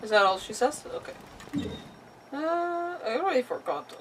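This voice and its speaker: A woman's voice: high voice, sing-song, moderate pace, recorded from a distance, a little hiss or hum. A woman streaming a video game with casual live commentary, muttering to herself as she reacts. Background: game music.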